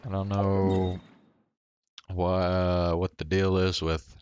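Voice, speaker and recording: A man's voice: sits low, level tone, extremely slow, a little mellow, smooth, no room sound, highs cut off. A man streaming a game, talking casually and mumbling his thoughts. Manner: laid-back, mumbling. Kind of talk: casual gameplay commentary.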